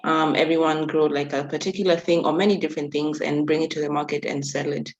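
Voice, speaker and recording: A woman's voice: deep voice, level tone, fast, lo-fi sound. A woman from Kenya, a youth workshop facilitator, speaking on a panel discussion, laughing often and restarting her sentences.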